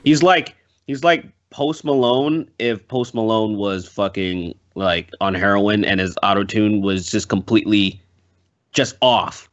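A man's voice: lowish voice, measured pace, muffled recording. A man chatting casually as a podcast guest, emphatic and profane, often repeating himself. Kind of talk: casual panel chat.

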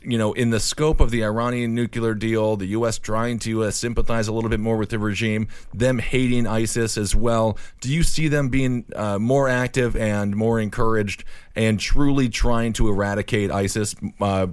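A man's voice: medium pitch, no room sound. Conversational male interviewer, dry close sound. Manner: informal, swearing, long rambling questions. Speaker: interviewer, host. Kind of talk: conversational political discussion.

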